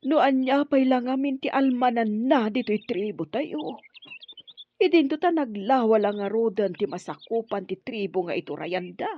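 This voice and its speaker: A woman's voice: fairly high pitch, moderate pace, lightly acted. A woman as a caring chief's wife, muffled recording. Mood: concerned. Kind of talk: Ilocano radio drama acting. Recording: dull, noisy radio drama recording.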